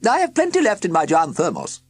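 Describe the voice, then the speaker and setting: A reader voicing an eccentric middle-aged aunt who chatters and grumbles in a shrill, high voice. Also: female voice, wildly swooping pitch, fairly quick, no echo, heavily acted. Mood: grumbling chatter.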